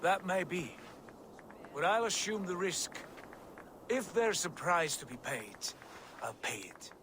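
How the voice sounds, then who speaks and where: A pragmatic warrior god talks in a high, firm voice. He sounds measured and reasoning. A steady noise sits under the game audio. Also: exaggerated voice. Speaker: game character.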